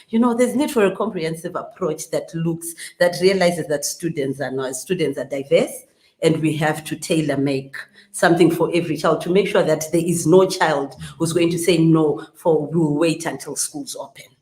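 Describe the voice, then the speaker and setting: A woman's voice: deep voice, darkish tone. A woman speaking earnestly as a guest on a discussion panel, often restarting her sentences. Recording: echoey room.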